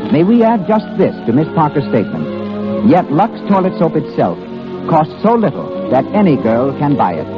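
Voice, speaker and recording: A man's voice: on the high side, big pitch swings, normal speed, dull audio. A man announcing a 1930s radio show in a formal, declamatory style. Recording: band-limited vintage radio with loud music. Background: music.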